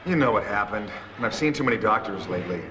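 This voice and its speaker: A man's voice: fairly low pitch, animated, fast talker, bright, forceful tone, a little hiss or hum. An actor playing a worried middle-aged father who is a heart patient, wry and persistent, in naturalistic drama dialogue. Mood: preoccupied.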